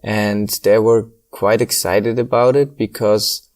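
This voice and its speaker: Man, hesitant, low-voiced. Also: little pitch movement, slow, a little mellow. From Switzerland. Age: 30s. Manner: hesitant, many ums, slightly non-native phrasing. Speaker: guest, circus acrobat. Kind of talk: casual interview conversation.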